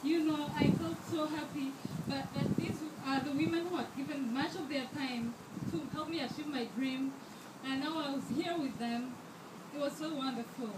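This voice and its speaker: A woman's voice: high voice, steady tone, moderate pace, hardly any pauses. A woman in her twenties from Rwanda giving an earnest speech at a fundraiser, with many fillers and restarts. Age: 20s. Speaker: scholarship student giving a speech. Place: event hall. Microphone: handheld microphone held too far away. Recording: echoey hall, distant mic, background noise.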